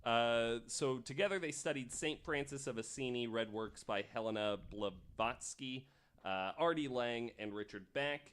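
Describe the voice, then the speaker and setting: A man's voice: mid-range voice, unhurried, a bit bright, clear, resonant tone. A man hosting a podcast, telling stories from notes in an informal, casual way. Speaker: podcast host.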